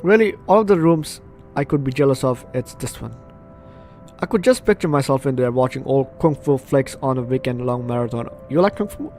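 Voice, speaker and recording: A man's voice: mid-range voice, fairly quick, darkish tone. Male gaming host, middle-range voice, reads story text aloud, trips on lines, breaks off for asides, faint music underneath. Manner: stumbles over read lines, self-interrupting asides. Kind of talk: let's play commentary, reading game text aloud.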